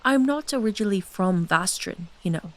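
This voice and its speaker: Actress as an ageless elegant queen, soft-spoken and gentle, fantasy audio drama. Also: mid-range voice, expressive, moderate pace, natural voice. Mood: wistful.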